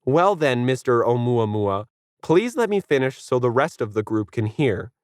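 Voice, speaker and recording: A man's voice: medium pitch, big pitch swings, moderate pace, no echo, clean studio sound, pitched above the performer's own voice, close to an everyday voice. A man voicing an annoyed woman tour guide in a story, curt and falsely polite. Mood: strained politeness.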